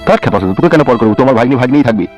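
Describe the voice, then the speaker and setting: A man acts out a lovestruck village uncle from a cartoon comedy. He schemes at a breakneck pace in a mid-pitched male voice. Faint music plays underneath. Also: lively intonation, rapid-fire, a little mellow, lightly acted. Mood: confiding, determined.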